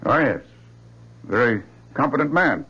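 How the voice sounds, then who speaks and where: An actor playing an authoritative but resigned navy admiral in a 1970s radio drama, terse and husky. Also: male voice, medium pitch, big pitch swings, unhurried, sharp tone, exaggerated voice. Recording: dull vintage radio recording.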